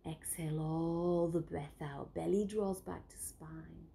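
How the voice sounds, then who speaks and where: A woman guides a breathing meditation at a very slow, unhurried pace. Her voice is dark and mellow with a gravelly edge, often falling to a hushed whisper. Faint ambient noise sits behind her. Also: sits low, steady tone. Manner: slow, soft, soothing instructions with frequent whispered phrases.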